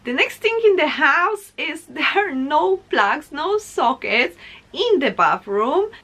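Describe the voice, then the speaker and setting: A woman's voice: high voice, sing-song, takes it slowly, bright, forceful tone. A young woman from Poland with a Polish accent, talking casually and animatedly to viewers as a vlogger. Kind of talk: casual vlog monologue to camera.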